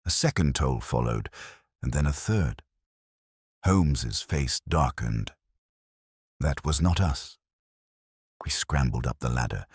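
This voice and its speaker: Very deep husky male story narrator. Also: no room sound, clean studio sound. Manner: dramatic, literary storytelling. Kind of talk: scripted audiobook narration.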